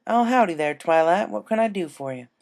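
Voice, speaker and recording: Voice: medium pitch, animated, fairly quick, gravelly, voice pushed up. A performer voicing an adult female farm pony, stern but caring, with folksy country phrasing, in a cartoon fan story. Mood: friendly.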